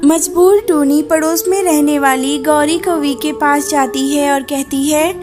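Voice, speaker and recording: A woman reading scripted narration for a children's animated moral story. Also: sits high, measured pace. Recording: music behind the voice.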